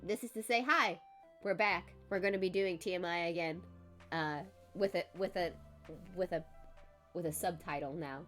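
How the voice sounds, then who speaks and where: A woman hosting a live stream in casual chat, congested and recovering her voice, chatty and quick to laugh. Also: on the low side, fairly bright tone, faint music behind. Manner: chatty, laughs often, self-deprecating. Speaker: streamer host.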